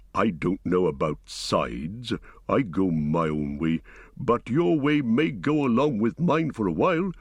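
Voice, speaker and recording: An ancient giant tree-shepherd, as a man reads him aloud in a storybook recording, speaking with great slowness and a lively, rising and falling pitch. He hums and muses between thoughts, never in a hurry, and the close, dry recording keeps every rumble near. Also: husky, no room sound, full character voice. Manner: slow, deliberate, musing with hums. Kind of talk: audiobook character reading.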